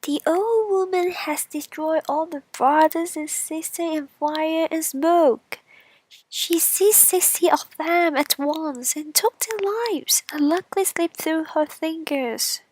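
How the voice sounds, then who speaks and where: Woman voicing an excitable high-pitched storybook straw. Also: slow, a little hiss or hum, low-quality recording. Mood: indignant, plaintive. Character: excitable talking straw, proud survivor, eager and plaintive.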